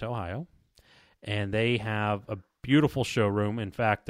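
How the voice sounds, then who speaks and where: Low-voiced man hosting a casual podcast. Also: dry recording, clean studio sound. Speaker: podcast host. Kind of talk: casual podcast chat with sponsor reads.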